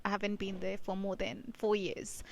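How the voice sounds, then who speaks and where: A woman's voice: mid-range voice, flat delivery, moderate pace, vocal fry. A woman in her thirties from Kenya, a speech pathologist, chatting as an interview guest with lots of fillers and restarts. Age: 30s. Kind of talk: casual interview chat. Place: regional town in Australia.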